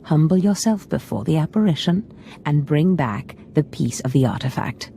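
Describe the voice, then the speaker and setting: An actress playing a scheming, ambitious female Sith lord in a video game, speaking in a measured, imperious, portentous manner. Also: low voice, animated, gravelly, mellow, a little hiss or hum. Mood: resolved, commanding. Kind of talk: scripted video game dialogue.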